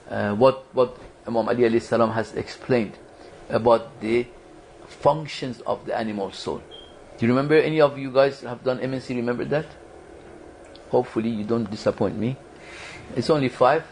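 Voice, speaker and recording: A man's voice: mid-range voice, darkish tone, slight background noise, low-quality recording. A man giving a religious study lecture to a small group, talking conversationally, quizzing the audience and often restarting his sentences. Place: lecture room at a community foundation.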